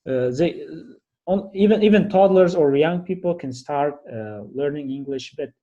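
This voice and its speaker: Male podcast host, young adult, Kazakh accent, slightly high pitch, casual, halting delivery. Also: lively intonation, dark tone, dull audio. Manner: halting, searching for words. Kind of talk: casual interview conversation.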